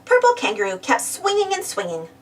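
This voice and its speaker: A woman narrating a children's story aloud in an animated read-aloud style. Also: very high voice, brisk, distant mic. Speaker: storybook reader.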